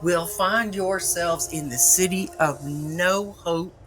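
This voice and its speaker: A woman's voice: on the low side, slow, music in the background. A woman playing a sweetly menacing supernatural overseer of the dead in an audio drama, coaxing and mock-friendly. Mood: sternly menacing.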